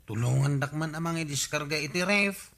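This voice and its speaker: A folksy village man in an Ilocano radio comedy drama. His voice is bright and slightly high. He sounds genial and easily surprised, with an Ilocano accent. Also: brisk, creaky. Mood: helpful.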